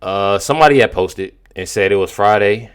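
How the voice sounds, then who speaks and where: An American man doing casual political commentary as a video host, in an emphatic, slangy, halting way with many repeats. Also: lowish voice, clean tone.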